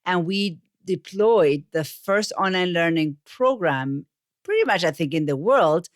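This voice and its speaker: Low-voiced Argentine-accented woman. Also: expressive, measured pace, dry recording, clean studio sound. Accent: Argentine Spanish. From Buenos Aires, Argentina. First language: Spanish. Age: 40s. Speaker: podcast guest, communications coach. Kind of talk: conversational storytelling interview.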